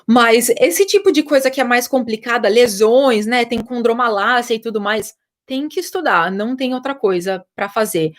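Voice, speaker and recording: A woman's voice: fairly high pitch, moderate pace. A young Brazilian woman, a personal trainer, chatting casually and explaining things to viewers, with lots of fillers. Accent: Brazilian Portuguese. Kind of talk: casual live chat answering viewer questions.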